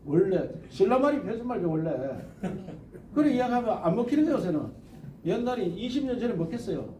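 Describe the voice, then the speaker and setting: High-pitched older man with Gyeongsang dialect. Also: animated, quick pace, mellow, roomy sound, far from the mic, slight background noise, poor audio. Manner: rambling, folksy, emphatic, quotes people in dialect. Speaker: politician. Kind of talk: campaign speech.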